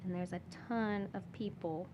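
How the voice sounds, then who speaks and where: A woman, a guest on a podcast, talking casually and softly with many fillers and restarts. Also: medium pitch, steady tone, normal speed, muffled recording, noisy background. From Michigan. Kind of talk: casual interview talk.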